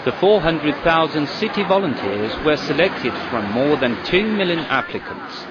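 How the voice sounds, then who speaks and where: A man's voice: a little high, sing-song, moderate pace. Male news narrator, lo-fi, noisy crowd. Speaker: news reporter. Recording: dull lo-fi broadcast audio with crowd and street noise.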